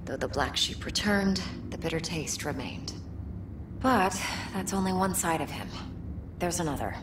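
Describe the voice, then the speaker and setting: A whispery scheming femme fatale. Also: female voice, noisy background. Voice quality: low, breathy, often whispered. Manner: slow, teasing, deliberate. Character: sultry scheming woman fixer, cool, manipulative. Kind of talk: video game cutscene dialogue. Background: music.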